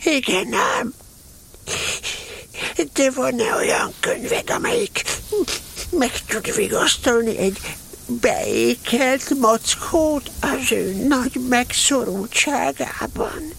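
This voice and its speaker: A woman playing an anxious, slow-witted, sulky male toy bear in a children's radio play, with a creaky, breathy voice. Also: sits high, lively intonation, a bit bright, slight background noise, full character voice. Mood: mournful.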